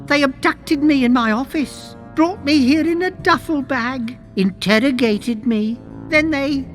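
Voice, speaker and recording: A man's voice: extremely high pitch, measured pace, a bit bright, soft background music. A man voicing a tiny, fussy, timid male cartographer in a pirate video game, easily indignant.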